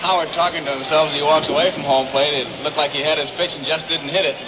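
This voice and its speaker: A man's voice: a little high, steady tone, fast, noisy background. An American man giving chatty, conversational live baseball analysis on an old 1960s radio broadcast. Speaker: baseball color commentator. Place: open-air ballpark broadcast position.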